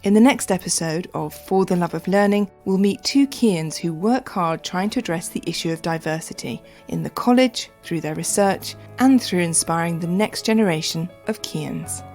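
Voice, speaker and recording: Low-voiced woman reading a podcast outro. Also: normal speed, few pauses, darkish tone, faint music behind. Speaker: podcast host. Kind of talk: scripted narration.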